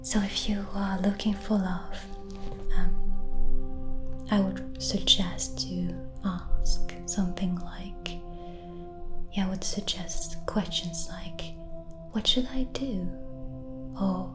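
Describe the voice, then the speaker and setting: Slow whispering gentle witch, played by a woman. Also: mid-range voice, steady tone, over music, natural voice. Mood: gentle, advising. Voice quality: soft, breathy whisper. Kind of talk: soft-spoken whispered ASMR roleplay.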